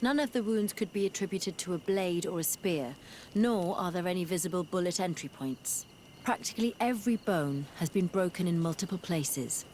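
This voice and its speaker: A woman's voice: on the low side, normal speed, darkish tone, slight background noise, natural voice. Precise, clinical physician played by a woman. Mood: clinical, assured. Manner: formal, precise, detached. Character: clinical, scholarly female physician, detached and precise. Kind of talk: video game cutscene acting.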